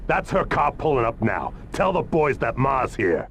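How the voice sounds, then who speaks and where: A tough video game henchman. The voice is hard-edged and crackly, with lively rises and falls. He is curt, over faint music. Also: medium pitch, moderate pace, vocal fry. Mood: brusque. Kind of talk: game cutscene acting.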